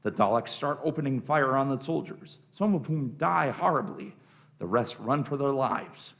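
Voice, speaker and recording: A male narrator reads scripted stage action for a radio drama. His voice is medium in pitch with a strong creaky, gravelly edge. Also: animated, normal speed, fairly bright tone.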